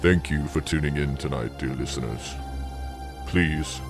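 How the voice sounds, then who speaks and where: A man hosting a late-night radio show in a measured, formal scripted delivery. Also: extremely low pitch. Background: music.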